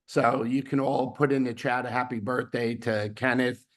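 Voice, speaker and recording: A man hosting a weekly team video call, his voice hoarse from laryngitis, rambling with fillers and restarts. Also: mid-range voice, level tone, moderate pace. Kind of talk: casual team announcements.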